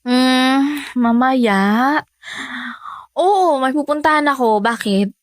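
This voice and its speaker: An actress playing a lovestruck young woman in a radio romance drama, playful and defensive, with teasing, expressive delivery. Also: sits high, takes it slowly, dry recording. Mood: breezy.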